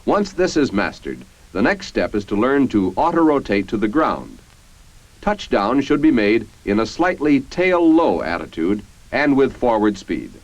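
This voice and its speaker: An American man reading scripted 1950s military training-film narration in a formal, measured, instructional manner. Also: mid-range voice, big pitch swings, dry recording. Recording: dull, band-limited 1950s film soundtrack.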